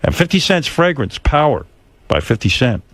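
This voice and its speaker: A man's voice: mid-range voice, sing-song, normal speed, fairly bright tone, no echo. Middle-aged guy hosting radio, blunt and teasing, voice jumps around a lot, dry close mic. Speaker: radio show host. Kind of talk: casual interview banter. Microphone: studio microphone.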